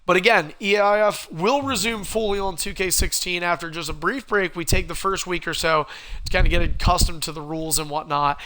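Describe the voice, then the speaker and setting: A man with a cold, sounding hoarse, hosting a game livestream and calling the action play-by-play like a wrestling announcer. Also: sits high, steady tone, fairly quick, sharp tone. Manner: wrestling-announcer calls, rising and punchy. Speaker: stream host and commentator.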